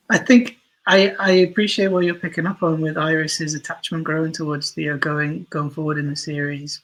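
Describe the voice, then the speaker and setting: A man's voice: a little high, fairly bright tone, poor audio. A man being interviewed as an audio drama writer, talking reflectively and a little hesitantly as he thinks aloud.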